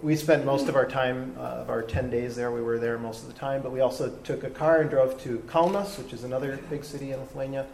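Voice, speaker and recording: A man speaks to a room full of listeners in an easygoing, slightly halting way, filling gaps with ums. His voice is warm and mellow, of middling pitch. A faint hum of the room sits behind him. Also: gravelly. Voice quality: relaxed, hesitant with frequent ums. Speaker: travel talk presenter. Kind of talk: casual live talk to an audience. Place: live talk before a seated audience with drinks.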